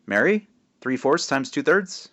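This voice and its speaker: A man's voice: medium pitch, big pitch swings, measured pace, bright, forceful tone, pitched above the performer's own voice. A man voicing a stern schoolteacher quizzing a class in a storybook, projecting and clipped. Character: stern male math teacher quizzing his class.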